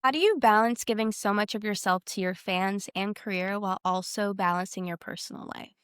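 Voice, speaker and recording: A woman's voice: medium pitch, fairly quick, fairly bright tone, clean tone, dry recording, studio quality. A woman co-hosting a podcast, chatting and asking questions in a casual interview style.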